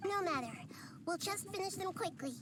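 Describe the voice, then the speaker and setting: A woman voicing a small, wise girl deity in a game, speaking in a gentle, thoughtful, measured way over background music. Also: very high voice, lively intonation, background noise. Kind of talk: game voice acting.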